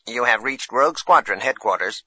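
A man's voice: mid-range voice, lively intonation, moderate pace, bright, forceful tone, slightly rough, dry recording, low-quality recording. A man voicing a formal, efficient droid in an audiobook, precise and impersonal. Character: male-voiced military office droid, formal and efficient.

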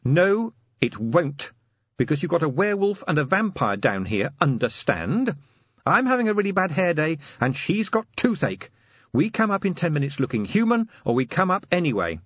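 An irritable female werewolf police sergeant, performed by a male audiobook reader, snaps out commands in a raised pitch. The delivery is crisp and clear, with a lively swing. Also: normal speed, no room sound, lo-fi sound. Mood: exasperated. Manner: snappish, commanding. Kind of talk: audiobook character acting.

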